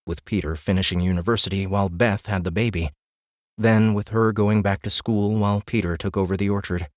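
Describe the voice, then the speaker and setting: A man's voice: deep voice, no room sound, studio quality. A man reading scripted fantasy fiction as an audiobook narrator, with a wry storytelling manner.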